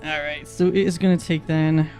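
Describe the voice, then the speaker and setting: A man's voice: on the high side, fairly even intonation, normal speed, darkish tone, poor audio. A man chatting casually as a player in a tabletop role-playing game. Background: music.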